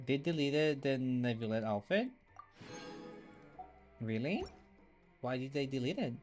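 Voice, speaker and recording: Guy game streamer, mid pitch, chatting to viewers, reacting out loud, music clearly behind him. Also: lively intonation, measured pace. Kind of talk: casual chat while playing.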